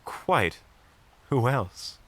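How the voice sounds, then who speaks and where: A man's voice: medium pitch, big pitch swings, very slow, no echo, exaggerated voice. A man playing a polite, unflappable monster hunter in an audio roleplay, speaking in a formal, prim, theatrical manner. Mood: composed. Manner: formal, prim, wryly courteous.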